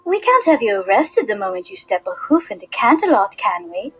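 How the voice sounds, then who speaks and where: A woman's voice: medium pitch, big pitch swings, fairly quick, fairly bright tone, slight background noise, poor audio. A woman playing a cruel pony criminal mastermind professor in an audio drama, commanding and mock-sympathetic. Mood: coolly commanding. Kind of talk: audio drama villain acting.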